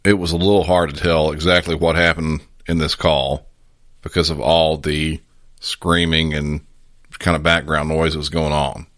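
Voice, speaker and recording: A man with a very deep voice narrates a true-crime podcast. His delivery is flat, measured and matter-of-fact, recorded dry and close. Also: no echo. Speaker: podcast host.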